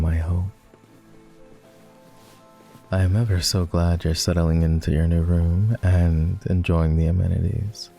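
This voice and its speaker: A man playing a doting, refined vampire gentleman in a romantic roleplay ASMR, with formal, courtly and gently teasing speech. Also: deep voice, gravelly, breathy edge, exaggerated voice. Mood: warm, gracious.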